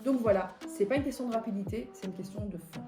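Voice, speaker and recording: A woman's voice: a little high, quick pace, roomy sound, over music. A woman from Belgium chatting casually as a beauty vlogger, answering viewer questions with lots of fillers. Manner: chatty, gives life advice, many fillers. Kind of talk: casual chat.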